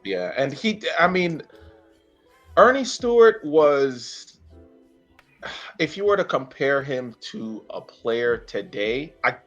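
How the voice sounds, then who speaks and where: A man in his thirties talking casually about sport as a podcast host, halting and searching for words as he recounts details. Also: mid-range voice, big pitch swings, a bit bright, highs cut off, soft background music. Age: 30s. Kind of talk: casual sports talk, recounting a player's career.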